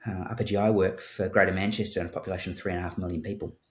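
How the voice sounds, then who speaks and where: A man's voice: sits low, flat delivery, racing. A man with a New Zealand accent, a guest surgeon speaking in a thoughtful conversational interview and often repeating words as he starts a sentence. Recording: roomy echo.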